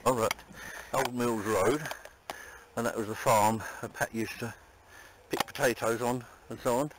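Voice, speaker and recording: A man around eighty walking outdoors and pointing out places from his childhood, in a halting, wandering way. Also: medium pitch, fairly even intonation. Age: about 80. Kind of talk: on-location reminiscing. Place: outdoors on a common. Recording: loud outdoor noise and passing traffic.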